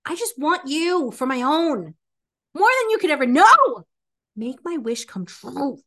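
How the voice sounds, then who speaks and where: A woman's voice: high-pitched, sing-song, a little slow, bright, forceful tone. A woman doing playful, theatrical dramatic readings with an exaggerated, melodramatic delivery as a podcast co-host.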